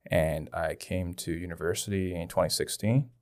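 Low, creaky-voiced young Chinese man. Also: steady tone, moderate pace, darkish tone. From Dalian, China. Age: 20s. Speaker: podcast guest. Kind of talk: casual personal testimony in an interview. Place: small indoor podcast studio. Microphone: close boom-arm studio microphone.

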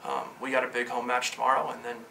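Male coach, 30s, brisk and bright, steady pitch, distant mic in a press room. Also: creaky. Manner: quick, upbeat, fluent with fillers. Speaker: head coach. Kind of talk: post-game press interview. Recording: slightly distant, faint room noise.

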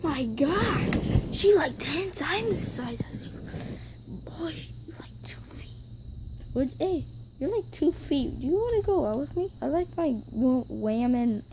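A high-pitched voice improvises a crude, slangy puppet show full of giggles. It is captured on a handheld phone in a bedroom, with very heavy noise all over the recording. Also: expressive, unhurried. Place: carpeted bedroom indoors. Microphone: handheld phone close by.

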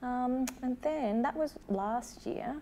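A woman's voice: high voice, takes it slowly, dark tone, slight background noise. An Australian woman in her thirties speaking thoughtfully and hesitantly as a playwright in a casual interview. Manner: hesitant, thoughtful, self-deprecating. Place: small room, seated indoors.

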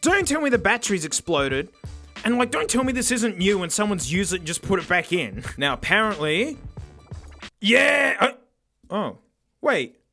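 A hoarse man, excitably reviewing a gadget in casual, exclamatory commentary. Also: high voice, moderate pace, faint music behind. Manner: excitable, exclamatory, self-mocking.

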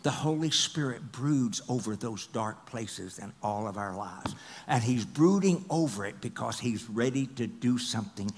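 A middle-aged man preaching a sermon on a large church stage into a headset mic, swinging from loud, excited passages to hushed whispers. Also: medium pitch, moderate pace, slight background noise. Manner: paces the stage and gestures. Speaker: pastor.

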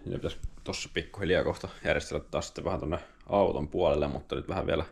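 Low-voiced young man, rambling vlog. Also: vocal fry, slightly rough, dry recording. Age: young adult. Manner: relaxed, rambling with many fillers. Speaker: vlogger and roller skier. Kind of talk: casual vlog talk to camera. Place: in a car, outdoors on a road, indoors at home. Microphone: handheld or dash camera. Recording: dull lo-fi sound with background noise.

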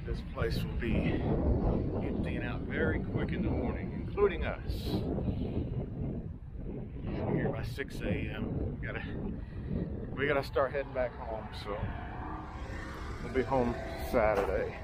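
A man talks in an easygoing, offhand way as he films a walk around an outdoor campground. His pitch is ordinary and his remarks are wry and relaxed. Loud outdoor ambience and wind noise sit heavily behind his voice. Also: a little slow, pauses often. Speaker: travel vlogger. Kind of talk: casual walk-around narration.

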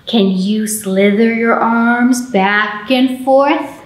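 A woman with a fairly high voice leads storytime for small children. She takes her time, stretching key words in an animated, encouraging way. The room adds a clear echo around her. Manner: animated, encouraging, drawn-out emphasis for young children. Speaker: children's librarian, storytime host. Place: indoor library storytime room. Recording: roomy indoor echo.

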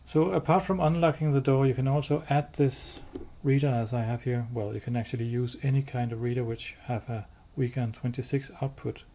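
A man giving a hands-on product demo indoors, explaining step by step in a halting, matter-of-fact way. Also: mid-range voice, steady tone, mellow. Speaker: product demonstrator. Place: indoors, showroom with wall-mounted devices. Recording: clear background noise.

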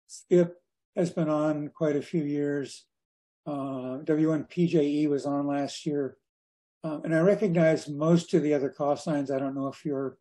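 Older man presenting, mellow higher-pitched voice. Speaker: guest speaker at a radio club. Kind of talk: informal slide presentation. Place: indoors on a video call.

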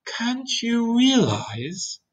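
Lady doing a little boy from a kids book, earnest, hopeful, high voice that moves around a lot. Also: big pitch swings, normal speed, a bit bright, a little hiss or hum. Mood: exasperated, apologetic. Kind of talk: children's storybook character voice.

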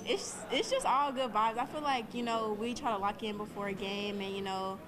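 A young woman, a high school volleyball player, giving brief, hesitant answers. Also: normal speed, a crowd in the background.

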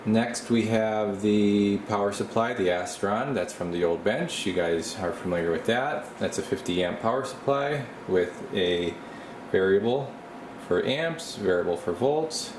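A man giving an informal, unscripted show-and-tell tour as host, explaining things casually while holding the camera in a small workshop. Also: on the low side. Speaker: host of a radio repair channel. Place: small indoor workshop at an electronics bench. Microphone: handheld camera mic, speaker behind camera. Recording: roomy, with steady air-conditioner-like noise.

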